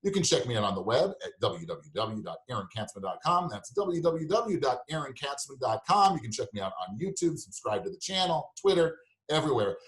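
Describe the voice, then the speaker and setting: A man's voice: mid-range voice, sing-song, fairly quick, hardly any pauses, roomy sound, low-quality recording. A man hosting a show and interviewing a guest in a conversational, informal, slightly rambling way.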